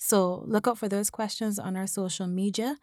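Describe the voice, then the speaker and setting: A woman's voice: mid-range voice, steady tone, normal speed, darkish tone, no room sound, clean studio sound. A woman in her twenties co-hosting a podcast, chatting in a laid-back, slangy way and teasing her co-host. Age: 20s. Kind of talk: casual podcast chat.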